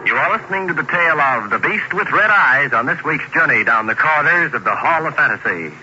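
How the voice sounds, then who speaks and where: A man's voice: a little high, animated, a little fast. A man announcing an old-time radio drama in a theatrical, formal manner. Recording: noisy, muffled old radio recording.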